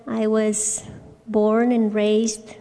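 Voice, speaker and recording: A woman in her forties from Romania, sharing her personal story at a recovery fellowship meeting in a hesitant way, with frequent pauses and restarts. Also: medium pitch, completely flat delivery, warm tone. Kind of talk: personal testimony talk. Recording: long reverb from a large hall.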